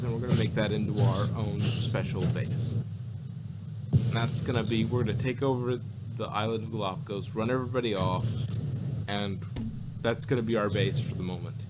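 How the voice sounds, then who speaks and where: A man chatting casually as a review co-host, often halting, repeating words and trailing off. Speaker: podcast co-host reviewing audio dramas. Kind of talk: casual chat. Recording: loud background noise, vehicle-like rumble.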